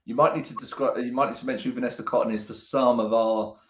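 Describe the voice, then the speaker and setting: A man's voice: mid-range voice, fairly even intonation, quick pace, roomy sound, muffled recording. A man hosting an interview, asking rambling questions that he restarts along the way. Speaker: podcast host. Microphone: video call.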